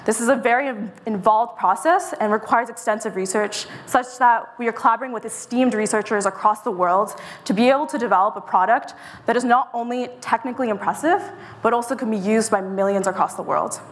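A young woman presents a tech pitch on stage in a smooth, confident, rehearsed flow. Her voice sits fairly high, and she is picked up by a clip-on mic in a mid-sized event room. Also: fairly quick. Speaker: startup presenter.

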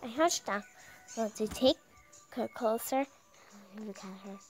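A very high, youthful female voice reads visual novel text aloud at a slow pace. She is dramatic but halting, often repeating and tripping on words, with music playing behind her. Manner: halting, stumbling over words and repeating them.

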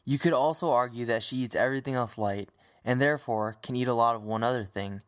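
A man's voice: medium pitch, quick pace, clear, resonant tone. A man narrating a scripted story with wry humor as the narrator.

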